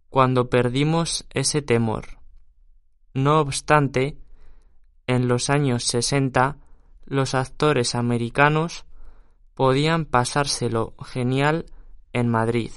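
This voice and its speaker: A man reading scripted passages and interview answers aloud for a listening exercise, with a measured, even delivery. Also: mid-range voice, clean tone, no echo, clean studio sound. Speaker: audio reader for a listening exercise.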